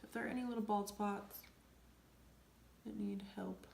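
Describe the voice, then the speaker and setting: A young woman coming down with a cold, chatting through a makeup tutorial in a rambling way, often dropping to soft near-whispers. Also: mid-range voice, fairly even intonation, moderate pace, vocal fry, slight background noise. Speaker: beauty vlogger. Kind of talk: casual makeup tutorial chat. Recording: echoey room.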